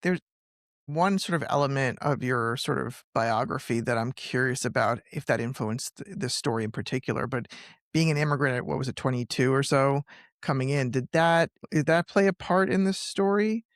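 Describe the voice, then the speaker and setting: A man's voice: medium pitch, moderate pace, no echo, studio quality. A male podcast host who asks long, rambling interview questions and often restarts them.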